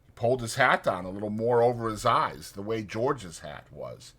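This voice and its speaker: A man narrating a literary novel as a dramatized audiobook, reading deliberately and expressively. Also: on the low side, animated, bright, forceful tone.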